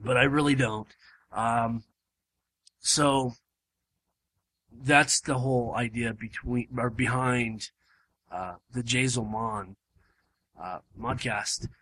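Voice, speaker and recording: A man hosts a relaxed podcast in a room at home. His voice is hoarse and wearing thin, yet it keeps a bright, forward edge. He rambles and restarts often, filling the gaps with ums. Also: medium pitch, slow pace, pauses often, rough recording. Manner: rambling, with many fillers and restarts. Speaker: podcast host. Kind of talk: casual chat.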